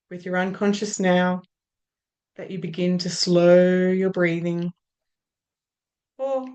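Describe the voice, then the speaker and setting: A woman with an Australian accent guiding a hypnosis session, speaking in soothing, drawn-out phrases that trail off softly. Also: fairly low pitch. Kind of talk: guided hypnosis induction.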